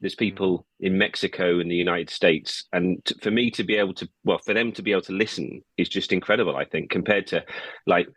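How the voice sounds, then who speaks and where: A man's voice: low voice, fairly quick, a bit bright, breathy edge, no room sound. An English man in his early forties, a musician guest chatting loosely in an interview, full of restarts and "you know". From England. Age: early 40s. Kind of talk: casual interview chat.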